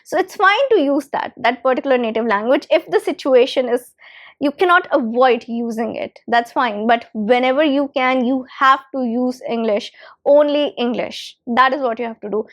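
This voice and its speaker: A woman's voice: high voice, normal speed. A woman whose first language is Hindi, giving an English lesson with emphatic repetition of key phrases. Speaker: English teacher. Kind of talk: instructional talk to camera.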